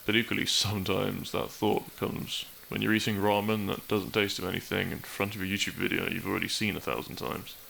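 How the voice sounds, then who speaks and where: Casting: male actor as melancholy young best friend, gravelly, wry and halting, intimate roleplay audio with noticeable background ambience. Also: lowish voice, level tone, pushed and bright, a little hiss or hum, heavily acted. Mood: subdued, vulnerable. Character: depressed young man, dry-witted, awkward, confessing to best friend.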